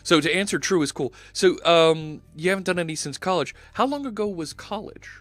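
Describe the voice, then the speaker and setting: A man's voice: a little high, a little fast, a bit bright, no room sound. Philly guy in his 40s on a headset, rambling casual stream chat, faint music under him. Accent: Philadelphia. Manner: chatty, thinks aloud with many fillers. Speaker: live-stream host. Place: home studio, indoors.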